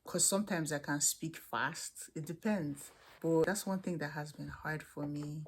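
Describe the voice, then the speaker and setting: Low-voiced Nigerian woman chatting. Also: unhurried, slight background noise. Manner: chatty, candid, with frequent restarts. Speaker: international student vlogger. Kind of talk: casual chat answering questions to camera.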